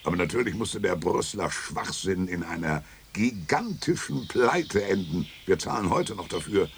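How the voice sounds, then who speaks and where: A gravelly lecturing European thinker. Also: male voice, lively intonation, normal speed, slight background noise. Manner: emphatic, lecturing, swings pitch widely. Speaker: European interviewee in a radio play. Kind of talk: radio play acting.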